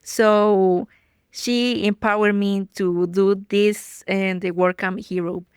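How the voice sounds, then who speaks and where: A woman's voice: mid-range voice, flat delivery, slow pace, smooth, no echo, studio quality. Cheerful woman from Costa Rica. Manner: cheerful, laughs easily. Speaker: guest, educator. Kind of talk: casual interview chat.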